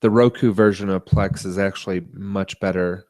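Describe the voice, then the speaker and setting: A man co-hosting a podcast, chatting casually about tech and media in a halting, rambling way. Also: low voice, steady tone, a little mellow, dry recording. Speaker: podcast co-host.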